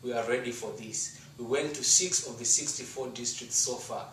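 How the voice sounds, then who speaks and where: Roomy echo, faint background noise, Zimbabwean male, medium pitch, emphatic public testimony, deliberate rhetorical phrasing. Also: fairly bright tone, distant mic. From rural Shurugwi, Zimbabwe. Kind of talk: rehearsed testimony and appeal speech to an audience.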